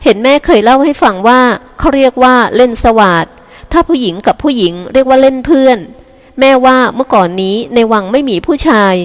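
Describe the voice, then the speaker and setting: A cheerful, self-assured young older sister, voiced by a woman reading a novel. She talks breezily and reassures, a touch higher and brighter than the narration, as a figure in a spoken storybook. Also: lively intonation, a little fast, big-room sound. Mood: explaining.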